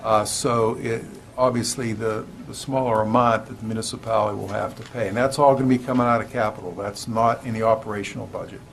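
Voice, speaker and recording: A man, a local official, explaining things plainly to the public at a meeting, halting and rephrasing as he goes. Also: lowish voice, fairly even intonation. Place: indoor public council meeting. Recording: clear background noise, room ambience.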